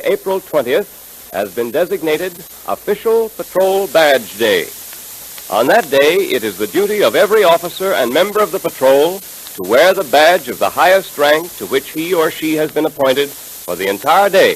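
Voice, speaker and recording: A man announcing in 1930s radio style, making an emphatic, enthusiastic scripted pitch to children. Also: rough recording. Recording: hissy 1930s radio recording.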